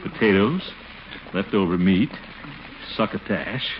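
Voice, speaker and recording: Muffled noisy vintage radio, pompous middle-aged man, blustery, easily flustered, very animated pitch, comic muttering. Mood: musing. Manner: blustery, muttering to himself, drawn-out exclamations. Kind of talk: 1940s radio comedy acting.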